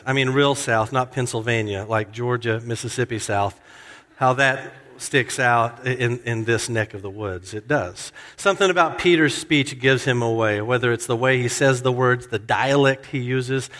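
Preacher with a long hall echo. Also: male voice, fairly bright tone. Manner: expository preaching, acts out quoted lines with rising pitch. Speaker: pastor. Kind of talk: sermon. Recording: hall reverb.

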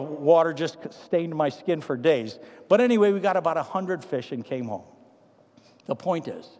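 A man with a Trinidadian accent, a pastor preaching a sermon with humorous stories that give way to quiet, earnest appeals. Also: fairly high pitch. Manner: animated anecdotes, then quiet earnest appeals. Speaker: pastor to seniors. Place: church sanctuary. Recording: long hall reverb.

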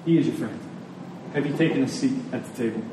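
A man preaching a sermon to a congregation in an earnest, deliberate manner, repeating phrases for emphasis. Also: mid-range voice, mellow. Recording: very echoey room, distant mic, faint noise.

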